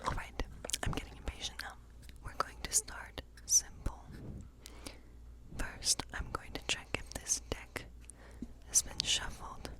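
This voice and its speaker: Woman doing soft asmr witch roleplay, all breathy whisper, whimsical and musing, takes her time. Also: measured pace. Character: friendly whimsical woodland witch reading tarot. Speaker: ASMR performer playing a witch tarot reader. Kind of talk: whispered ASMR role play.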